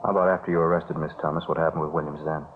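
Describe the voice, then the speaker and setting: An actor playing a polite, probing police detective in a 1950s radio drama, questioning in a low-key way. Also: male voice, level tone, very fast. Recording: noisy, phone-like 1950s radio recording.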